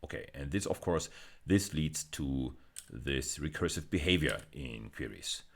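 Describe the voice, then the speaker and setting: Male university lecturer, low-pitched voice, lively swooping intonation, deliberate step-by-step explaining. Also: creaky. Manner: deliberate, step-by-step explaining, often repeating phrases for emphasis.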